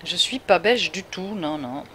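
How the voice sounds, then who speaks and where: A woman's voice: lowish voice, animated, unhurried, fairly bright tone. Parisian lady streaming a farm game, chatty, talks to chat, bit of background noise. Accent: Parisian French. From Paris, France. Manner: chatty, answers chat viewers, laughs often. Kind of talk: casual live gameplay commentary.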